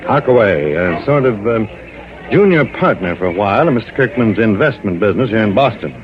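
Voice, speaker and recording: A man's voice: on the low side, very animated, a little fast, a crowd in the background. An American man playing a cautious, fussy insurance executive in an old-time radio drama, precise and formal but often hesitant and hedging. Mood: disapproving. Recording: dull vintage radio recording.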